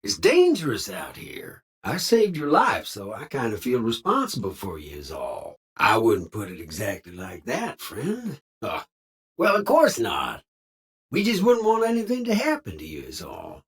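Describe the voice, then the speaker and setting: Folksy robot cowboy drifter for a video game: husky Southern drawl, wildly swooping pitch, friendly with a sly edge. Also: male voice, wildly swooping pitch, a little slow, full character voice. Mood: friendly, evasive. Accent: American Southern cowboy drawl. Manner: folksy slang, theatrical swooping delivery. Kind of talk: video game character dialogue.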